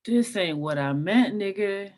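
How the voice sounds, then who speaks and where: A blunt young woman played by an actress in a casual screenplay read. She swings her pitch freely and presses her point in direct slang. She sounds like a protective friend who does not buy it. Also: lively intonation, measured pace, darkish tone, close to an everyday voice. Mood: annoyed.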